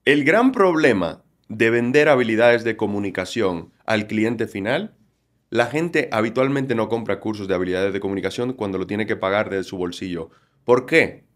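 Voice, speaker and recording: A Dominican man with a Galician-tinged Spanish accent, explaining things in a lively way as a guest on an interview podcast. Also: lowish voice, moderate pace. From Dominican Republic. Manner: animated, explanatory, acts out quotes. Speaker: communication trainer, guest.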